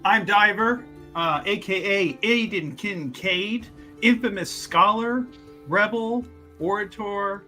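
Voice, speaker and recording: A man's voice: sits high, takes it slowly, sharp tone, soft background music. A man introducing his tabletop game character casually, rambling and searching for words. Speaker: tabletop roleplaying player.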